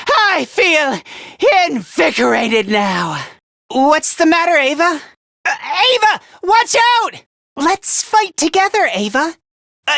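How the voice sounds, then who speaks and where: A man's voice: very high voice, sharp tone, dry recording, clean studio sound, heavily acted. A male actor voicing a meek, anxious, self-loathing young man fighter in an anime-style video game, stammering and apologetic. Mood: timid, earnest.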